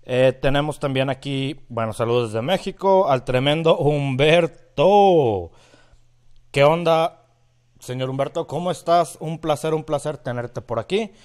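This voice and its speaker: A man's voice: on the high side, a little slow, darkish tone. A Mexican man in his thirties with a northern Mexican accent, hosting a live mixing tutorial from his home studio and greeting viewers by name. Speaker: audio engineer, livestream host.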